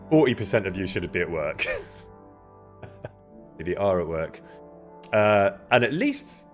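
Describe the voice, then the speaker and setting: Loud, chatty man live-streaming. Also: fairly low pitch. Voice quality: loud, carrying voice. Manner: chatty, greets viewers by name, laughs often. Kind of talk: casual live-stream chat. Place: indoor room near an event space.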